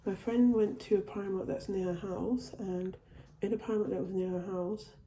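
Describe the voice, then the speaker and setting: Dark-voiced woman chatting in a haul vlog. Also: medium pitch, steady tone, normal speed, echoey room, a little hiss or hum, poor audio. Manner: chatty, repeats and restarts phrases. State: recovering from a cold. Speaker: vlogger. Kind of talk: casual haul video. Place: at home, indoors.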